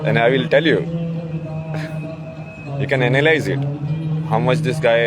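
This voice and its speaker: A man's voice: mid-range voice, a little slow, loud music behind the voice. A young man from India with an Indian English accent, talking casually as a guest, rambling with lots of "like" and frequent laughter. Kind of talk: casual conversation.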